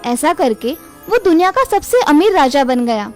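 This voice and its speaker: Female storyteller, notably high pitch, scripted children's tale narration, light music behind. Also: very animated, moderate pace.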